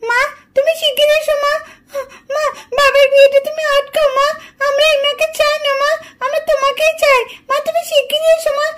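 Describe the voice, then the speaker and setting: A woman's voice: extremely high pitch, flat delivery, a little fast, a bit bright. A woman voicing a small pleading daughter in a whiny voice for a doll cartoon. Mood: tearful pleading. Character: small pleading daughters calling for their mother. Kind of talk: puppet doll cartoon dubbing.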